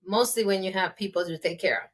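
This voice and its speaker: A woman's voice: mid-range voice, fast. A woman hosting a casual podcast, asking direct questions in a chatty, candid way. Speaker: podcast host.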